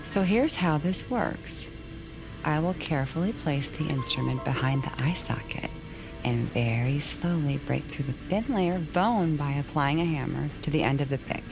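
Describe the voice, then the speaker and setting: A menacing asylum doctor in an audio drama, speaking in a low, measured voice. She stays polite and clinical, with a false friendliness, while music plays clearly underneath. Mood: coolly menacing. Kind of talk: audio fiction acting.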